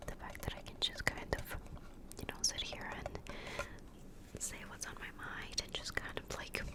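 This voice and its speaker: A woman whispering a rambling ASMR catch-up close to the mic, a little congested from a cold, with lots of fillers. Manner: rambling, many fillers and restarts. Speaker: ASMR creator. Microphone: close-up microphone.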